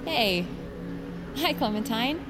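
A woman's voice: on the high side, lively intonation, slow, heavy background noise. A woman playing an earnest, friendly teenage activist girl in a naturalistic audio drama.

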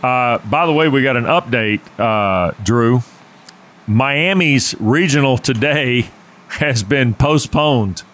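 A man's voice: mid-range voice, fairly bright tone. A man hosting a sports radio show, talking casually and joking with a guest and his co-host. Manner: joking, conversational, quick asides.

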